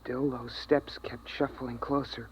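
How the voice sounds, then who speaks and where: An actor playing an earnest, frightened young boy in a slow, suspenseful 1950s radio drama performance, on an old recording. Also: medium pitch, little pitch movement, close to an everyday voice. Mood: tense, hushed. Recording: noisy, dull vintage radio recording.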